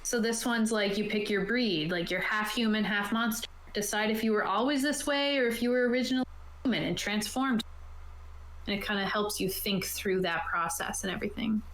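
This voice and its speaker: A woman's voice: medium pitch, fairly even intonation, a little fast, fairly bright tone. A woman talking casually as a game master, explaining rules and options to players. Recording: roomy echo, light background noise.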